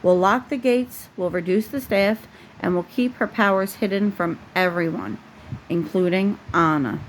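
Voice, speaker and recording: A woman acts out a storybook king, a worried father guarding his family. The delivery is earnest and pleading, at an average female pitch, with faint noise in the recording. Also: measured pace, natural voice, poor audio. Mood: earnest, protective. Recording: noticeable background noise.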